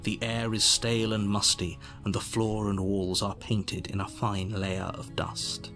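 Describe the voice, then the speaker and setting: A man's voice: on the low side, little pitch movement, a little slow, fairly bright tone, soft background music. An actor playing a prim, exasperated storybook narrator in a horror game, theatrical and sardonic in his delivery. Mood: solemn narration. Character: prim, exasperated male narrator steering a reluctant hero.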